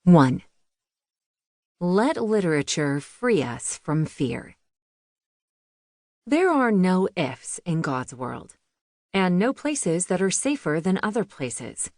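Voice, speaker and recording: A woman's voice: lowish voice, very animated, takes it slowly, pauses often, darkish tone, dry recording, studio quality. A woman reading a memoir audiobook as a scripted narration.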